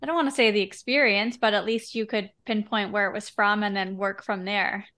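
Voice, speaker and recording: A woman's voice: medium pitch, steady tone, brisk, pushed and bright. Casual, reflective woman podcast host. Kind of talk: casual conversational interview.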